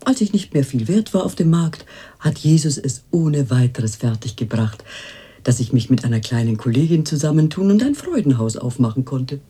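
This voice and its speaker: Very deep, husky aging fortune-teller. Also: female voice, sing-song, normal speed, dark tone, full character voice. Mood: confiding, pious. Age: older. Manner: cooing endearments, theatrical. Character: aging former madam turned fortune-teller, cooing, pious, boastful. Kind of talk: radio drama acting.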